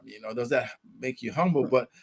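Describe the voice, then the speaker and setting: A man's voice: medium pitch, fairly quick, creaky, slightly rough, no echo. A man hosting a podcast who reacts with enthusiasm and hands the questions to his co-host. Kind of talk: casual interview talk.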